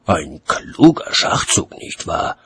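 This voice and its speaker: A man playing a grumpy, sardonic, weary city watchman in an audiobook story, gruff and dry in manner. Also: slow pace, pushed and bright, slight background noise. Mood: wry.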